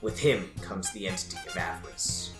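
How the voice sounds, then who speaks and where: A woman's voice: very deep voice, expressive, moderate pace. A woman reading a scripted, dramatic recap as an announcer. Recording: echoing voice under loud guitar music.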